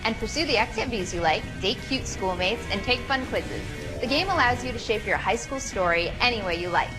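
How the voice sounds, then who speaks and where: A woman presents a scripted promo in a high, animated voice. Clear music plays behind her as she delivers it. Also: a little fast, rough recording. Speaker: video show presenter.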